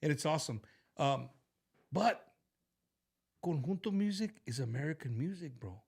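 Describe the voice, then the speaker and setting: Animated Texan man hosting a chat. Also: fairly high pitch, moderate pace, gravelly, slightly rough. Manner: animated, loose, many restarts, lots of "bro". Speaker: show host. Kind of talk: casual chat with a guest.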